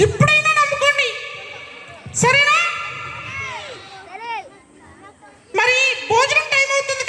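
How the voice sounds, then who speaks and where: A woman voicing a childlike, bossy little girl puppet who preaches to children in a live show, with exhorting, repeated questions. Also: extremely high pitch. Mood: chatty, cheeky. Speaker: puppet character at a children's Bible school. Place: church children's event, live audience. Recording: echoey live PA, faint music and crowd.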